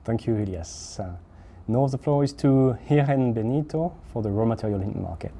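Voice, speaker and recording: A man's voice: mid-range voice, expressive, moderate pace, warm tone, a little hiss or hum. A man moderating an online panel, hesitant and full of fillers.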